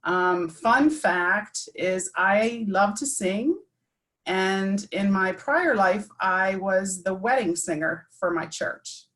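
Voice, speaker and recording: A woman nurse manager, measured and plain-spoken, introducing herself. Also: mid-range voice, a bit bright. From Baltimore. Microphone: video call.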